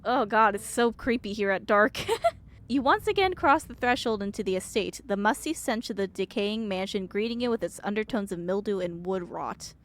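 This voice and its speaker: Woman streamer reading out a story game, really expressive reactions, medium pitch. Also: moderate pace. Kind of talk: video game playthrough, reading aloud and reacting.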